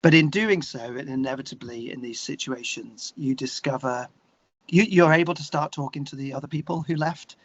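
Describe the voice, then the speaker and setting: A man with an English accent talking candidly and reflectively as an interview guest, searching for his words in a halting way. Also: medium pitch, highs cut off.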